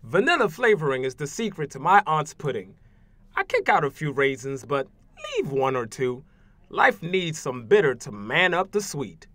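A man's voice: on the high side, sing-song, normal speed, a bit bright, dry recording. A man playing an intense, wounded teenage boy reciting slam poetry with emphatic, rhythmic, emotional delivery. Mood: defiant, freed.

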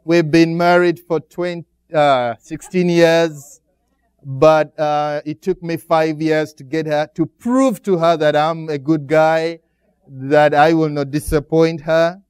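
A middle-aged man from Uganda with a Ugandan accent, speaking as a guest pastor to a church congregation, pausing often as he preaches. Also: on the high side, fairly even intonation, a little mellow, smooth, dry recording. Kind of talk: church greeting and sermon.

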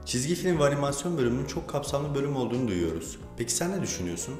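A man's voice: medium pitch, animated, racing, darkish tone. A man putting scripted questions as an interviewer. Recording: roomy echo with music behind. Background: background music.

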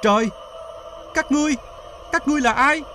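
A man voicing a greedy, imperious emperor in an animated folktale, given to exclamations. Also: very high-pitched, a little fast, over a noisy crowd. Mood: indignant.

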